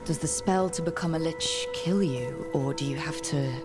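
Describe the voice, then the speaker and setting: An actress playing a curious, plain-spoken adventurer heroine in a fantasy video game, direct and conversational. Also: female voice, sits low, normal speed, close to an everyday voice. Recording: game music playing behind.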